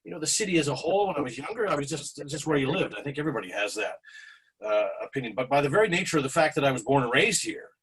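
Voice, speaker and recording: Guest speaker, man in his 40s, slightly husky, meandering video-call storyteller. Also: on the high side, animated, rapid-fire, fairly bright tone, poor audio. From Canada. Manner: rambling, many restarts and fillers.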